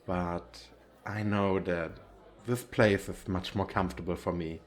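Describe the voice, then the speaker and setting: A man's voice: deep voice, steady tone, measured pace. A man acting a shy, nervous, wealthy businessman on a speed date in an ASMR roleplay, talking in a hesitant, rambling, self-deprecating way. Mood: sincere, self-conscious. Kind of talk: ASMR roleplay, intimate flirty chat.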